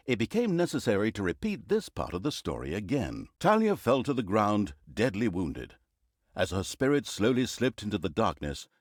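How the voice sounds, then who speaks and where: An actor playing a sarcastic, pompous storyteller, narrating a fantasy video game with dry, mock-dramatic humor. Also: male voice, medium pitch, dry recording. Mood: wry, sardonic. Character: sarcastic, pompous male storyteller of evil deeds. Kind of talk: theatrical scripted fantasy narration.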